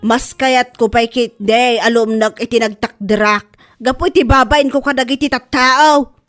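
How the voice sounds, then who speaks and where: A high, indignant voice of a scolding mother acted by a woman in an Ilocano radio comedy. She is sharp-tongued and exclamatory, with an Ilocano Filipino accent. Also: steady tone, a little slow, soft background music. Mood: scolding, angry. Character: indignant, sharp-tongued mother defending herself, later a wife.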